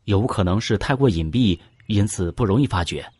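An actor playing a slippery, cautious court official in an audio drama, deferential and hedging. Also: male voice, on the low side, animated, normal speed, darkish tone, slightly rough, dry recording. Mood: cautious probing.

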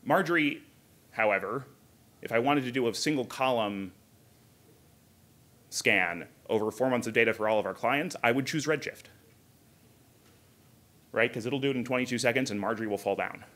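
A man's voice: medium pitch, moderate pace, pauses often, a bit bright. A man in his thirties speaks at a technical conference in a mid-range voice. He explains things informally and conversationally, dropping in casual asides as he goes. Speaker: conference speaker, software engineer. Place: hotel conference room, indoors.

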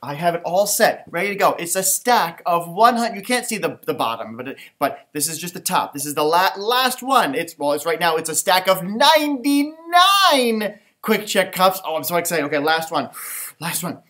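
A man's voice: high-pitched, big pitch swings, normal speed, bright, forceful tone. A man in his thirties reading aloud expressively for children, with chatty asides and musing exclamations. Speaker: teacher, storytime host. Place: indoors, school library.

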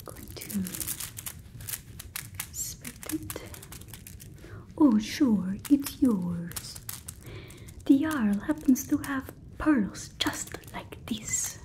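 A young woman playing a stern, mocking fantasy guard in a breathy whispered ASMR roleplay, suspicious and sarcastic. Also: fairly high pitch, lively intonation, very slow, pauses often, mellow. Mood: suspicious, sardonic. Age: 20s.

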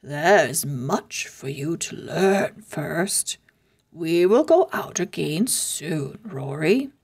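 A woman voicing a patient but firm old male wizard teacher in a children's storybook, measured and sometimes hushed. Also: low voice, big pitch swings, creaky, slightly rough, voice pushed down, full character voice. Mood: reassuring.

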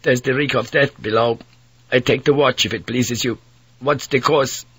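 A man's voice: mid-range voice, steady tone, moderate pace, fairly bright tone, husky, no room sound. A man voicing a sick, embittered young sailor with a strained, complaining tone. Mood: weary.

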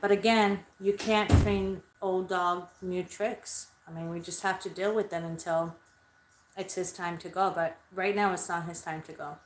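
A woman's voice: lowish voice, fairly even intonation, moderate pace, reverberant, a little hiss or hum, rough recording. A woman in her thirties chatting loosely with her livestream viewers from a small bedroom into a webcam, rambling and swearing freely. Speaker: livestreaming spiritual medium. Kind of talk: casual livestream chat. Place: small bedroom under red light. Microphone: webcam at desk distance.

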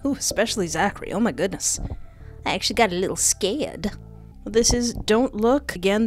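A young adult woman hosting a gaming video, switching between reading text aloud and casual commentary on a close mic. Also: medium pitch. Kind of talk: let's play commentary with dramatic read-aloud narration. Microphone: close desk microphone with headset. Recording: music behind.

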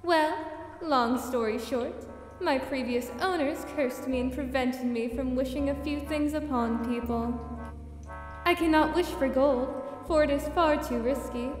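Woman acting a high-voiced haughty genie. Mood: stately, calm. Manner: formal, stately, measured. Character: haughty, composed female genie, easily offended. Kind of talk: audio theater acting. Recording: echoey reverberant sound, music behind.

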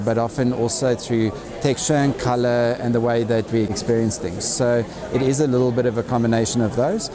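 A man in his forties giving an interview as a retail executive, speaking haltingly as he thinks aloud. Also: mid-range voice. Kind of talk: on-camera interview. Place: large hardware store, indoors. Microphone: lapel. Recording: busy store background chatter.

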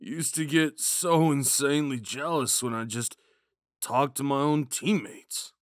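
Man acting a slow-talking drunk himbo jock. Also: medium pitch, dry recording, clean studio sound, close to an everyday voice. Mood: venting, wistful. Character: drunk, dim, sweet young male college jock. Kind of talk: ASMR audio roleplay.

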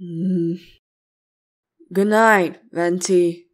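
A woman's voice: lowish voice, fairly even intonation, very slow. A woman voicing a brooding, clingy, possessive young male demon slayer in a boyfriend ASMR roleplay, grumbling and hesitant between sighs. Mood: sleepy grumbling.

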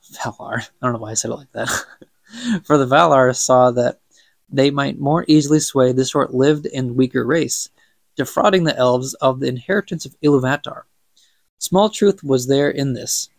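Young guy with a stuffed up nose reading a book out loud for his podcast, a bit of fry, dead dry sound. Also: mid-range voice, no room sound. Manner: chatty, stumbles and laughs at own slips. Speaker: podcast host reading aloud. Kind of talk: casual book reading with commentary.

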